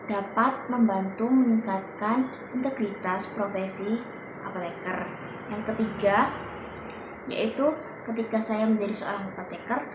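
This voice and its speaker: A woman's voice: mid-range voice, reverberant, background noise. A woman pharmacy student giving a prepared answer, counting off her points in order.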